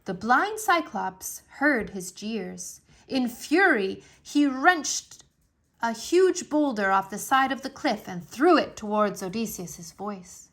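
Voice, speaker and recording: A woman reading a scripted story aloud for children in an expressive, deliberate storytelling manner. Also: on the high side. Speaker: storybook narrator, English teacher.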